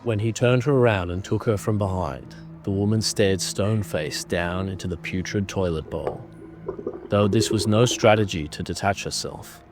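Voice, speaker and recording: A man narrating a scripted horror story in a measured, dramatic manner. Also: deep voice, over music.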